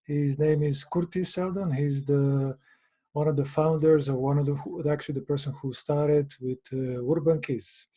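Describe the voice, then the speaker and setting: A man's voice: on the high side, steady tone, normal speed, warm tone. A man hosting a podcast interview, chatting in a friendly way and often restarting his sentences. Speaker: podcast host. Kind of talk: casual interview conversation.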